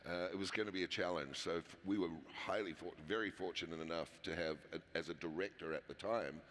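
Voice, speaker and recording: A man's voice: low voice, steady tone, a little fast, fairly bright tone. A man with a New Zealand accent, a company founder talking casually in an interview, with frequent fillers and restarts. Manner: conversational, many fillers and restarts. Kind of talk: conference interview. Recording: long hall reverb.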